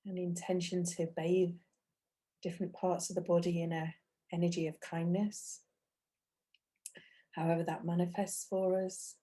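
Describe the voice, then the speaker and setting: A woman leads a guided meditation in a soft-edged, very mellow voice that sits fairly low. She speaks gently and without hurry, as a meditation teacher, leaving long pauses between short phrases. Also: little pitch movement.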